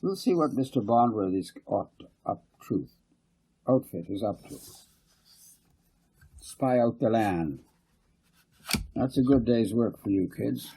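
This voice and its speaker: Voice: medium pitch, expressive, takes it slowly, pauses often, mellow, slightly rough, exaggerated voice, poor audio. A man voicing a hearty, bossy father in an audiobook story. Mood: hearty, commanding.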